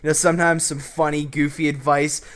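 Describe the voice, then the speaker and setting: Young male host, cocky and jokey advice delivery, slightly raised pitch. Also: flat delivery, fairly quick, a bit bright. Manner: brash, joking, with swearing. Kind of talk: casual advice commentary over gameplay.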